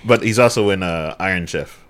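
A man's voice: low voice, brisk, fairly bright tone, a little hiss or hum. A man hosting a casual movie review podcast, joking in a dry, matter-of-fact way. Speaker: podcast host.

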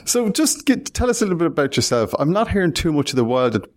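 A man's voice: on the high side, big pitch swings, quick pace. A man hosting a podcast, reading out promotional intros and then chatting with a guest. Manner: promotional, list-reading, conversational. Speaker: podcast host.

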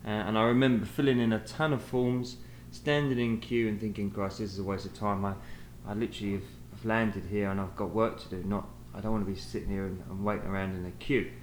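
A man's voice: on the low side, steady tone, quick pace. Hesitant British man in an echoey room. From England. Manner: hesitant, many fillers and restarts. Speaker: guest, sales manager. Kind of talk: conversational podcast interview. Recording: echoey room, faint noise.

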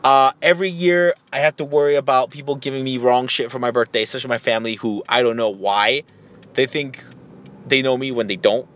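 Rambling man podcasting over loud car noise. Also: mid-range voice, highs cut off. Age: 30s or older. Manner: rambling, conversational, mildly profane. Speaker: podcast host. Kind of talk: casual solo monologue, personal anecdotes. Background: loud vehicle and road noise.

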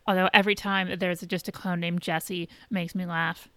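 Female podcast co-host, smooth mid-pitched voice, blunt informal chat with swearing, recorded very dry. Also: little pitch movement, normal speed, sharp tone, no room sound. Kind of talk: casual chat reviewing a TV episode.

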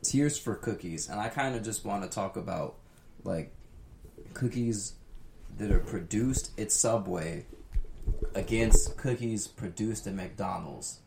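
A man's voice: lowish voice, slow pace, gravelly. A young man on a casual podcast, pushing strong opinions while hedging with lots of "like". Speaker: podcast co-host. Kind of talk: casual chat. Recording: echoey room with faint noise.

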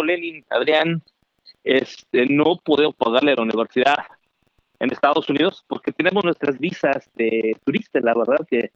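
A middle-aged Mexican man telling his story as an interview guest, animated and chatty with many fillers. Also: on the high side, no room sound. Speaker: guest, founder. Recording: dry, dull remote call audio.

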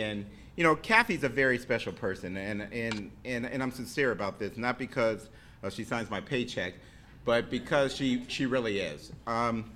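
A man giving an earnest speech to introduce a guest in a hotel banquet room, halting often and restarting phrases. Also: mid-range voice, sharp tone, slight background noise. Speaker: introducer, county staff member.

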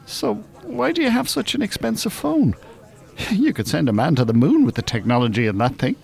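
A worldly older Irishman in a radio play. He is dry, teasing and opinionated, with a lively rise and fall in his voice and a blunt, cynical streak. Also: on the high side, normal speed, vocal fry. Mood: teasing, amused. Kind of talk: naturalistic radio drama acting.

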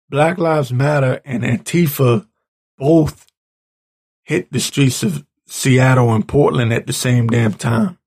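A man with a raspy, hoarse voice giving an informal opinion rant as a commentator, halting, blunt and exasperated. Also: mid-range voice, darkish tone. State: hoarse, clearing his throat. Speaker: political commentator reading tweets and articles.

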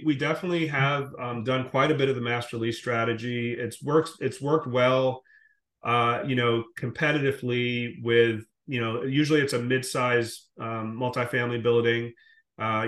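A man's voice: medium pitch, a bit bright. A man from the Caribbean speaking as an interview guest, explaining his business in a detailed, conversational way. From Caribbean, born in Guyana and raised in Jamaica. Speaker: podcast guest, short-term rental investor. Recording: roomy, echoing sound.

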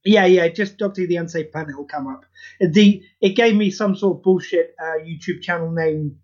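A man's voice: sits high, moderate pace, a little mellow. Hesitant man from the UK, dull audio. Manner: hesitant, many fillers, self-mocking. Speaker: guest, audio drama writer. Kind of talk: casual chat.

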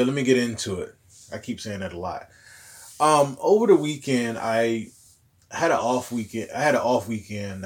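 A man with a hoarse, recovering voice hosting a casual solo podcast, rambling along in a laid-back way. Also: fairly low pitch. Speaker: podcast host.

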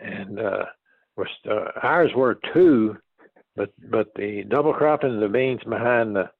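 A man in his eighties reminiscing in a casual interview, speaking in a folksy, halting way and repeating words and phrases as he goes. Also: on the low side, vocal fry, no room sound, narrow, tinny audio. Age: 80s. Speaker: interviewee, retired farm machinery dealer.

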